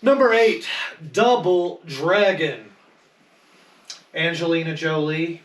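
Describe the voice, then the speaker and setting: A man's voice: fairly high pitch, takes it slowly. A man chatting casually as a panelist on a group podcast. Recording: roomy echo, distant, faint noise.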